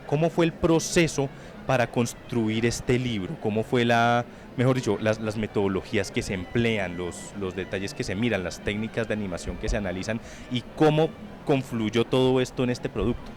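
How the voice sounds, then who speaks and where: A man hosting an interview about a book launch, chatty and digressive, asking long conversational questions. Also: lowish voice.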